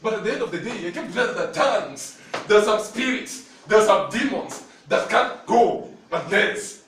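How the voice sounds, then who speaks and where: A Kenyan male preacher with a high, very lively voice tells his sermon as animated stories, laughing often. He sounds distant from the mic in a very echoey church hall, with clear noise underneath. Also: normal speed. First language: Luhya. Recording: very echoey hall, from far off, noisy.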